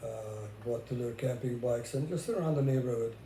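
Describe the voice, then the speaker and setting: A man's voice: medium pitch, quick pace, warm tone. An older man from Hungary with a Hungarian accent, chatting casually as a vlogger about his own life. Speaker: vlogger sharing relationship experiences. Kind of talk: casual conversational talk. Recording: roomy echo with faint background noise.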